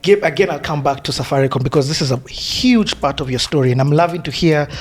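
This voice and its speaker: A man's voice: fairly high pitch, fast talker, faint music behind. A man hosting a casual interview, reacting readily to his guest as he asks questions. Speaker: podcast host, interviewer.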